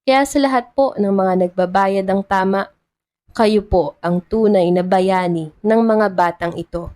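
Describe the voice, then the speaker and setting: A woman's voice: mid-range voice, a little mellow, lightly acted. Woman as a formal female town treasurer. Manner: formal, earnest. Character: strict, dignified female town treasurer addressing a crowd.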